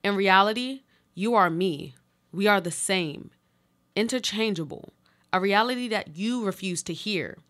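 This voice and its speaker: Woman playing desperate ghostly double in a radio drama, medium pitch, solemn poetic and insistent. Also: unhurried, fairly bright tone, natural voice. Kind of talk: live radio play monologue.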